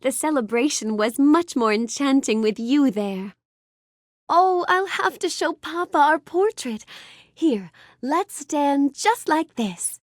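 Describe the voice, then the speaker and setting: Casting: a bookish young storybook heroine in a game; high, swooping, gracious, earnest, dry studio sound. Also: female voice, no room sound. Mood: warm, grateful, then excited, delighted. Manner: gracious, earnest, wonder-filled, precisely polite delivery. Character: sweet, polite, bookish young fairy-tale heroine, curious and dreamy. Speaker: video game character voice actress. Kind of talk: animated game character lines addressed to the player.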